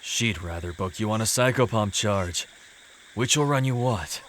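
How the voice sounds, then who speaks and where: A man playing a gruff, anxious, exasperated cursed guardian in an audio drama, blunt and stern. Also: fairly low pitch, normal speed, a bit bright, slight background noise, natural voice. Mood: grim, irritated.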